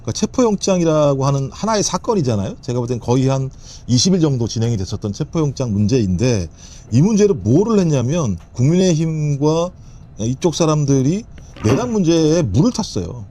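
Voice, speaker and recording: A man giving political commentary as a panel guest, listing points rapidly and emphatically. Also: mid-range voice, big pitch swings, dark tone.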